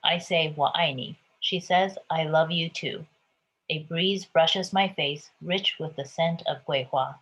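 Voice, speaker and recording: A woman from Taiwan, a picture book author, reading her book aloud gently and then chatting about it. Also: sits low, measured pace, highs cut off. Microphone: video call.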